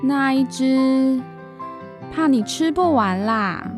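A woman's voice: sits high, lively intonation, takes it slowly, over music. A woman acting a weary, placating mother in a children's radio drama. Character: mother refereeing her daughters, weary and placating.

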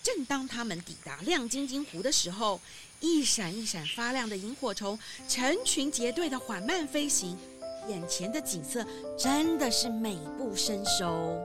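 A woman narrates a children's story in a fairly high voice with animated intonation. Light music plays under her scripted storytelling. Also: moderate pace.

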